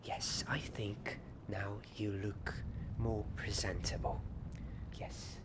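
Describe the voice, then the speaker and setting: Hushed, slow, low-voiced secretive wizard-school companion played by a man. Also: level tone, in a whisper. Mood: playful, soothing. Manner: hesitant, halting, hushed and confiding. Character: friendly, secretive Hogwarts companion eager for a ghost party. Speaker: ASMR roleplay performer. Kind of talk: ASMR roleplay, partly whispered. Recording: clearly noisy ambient background.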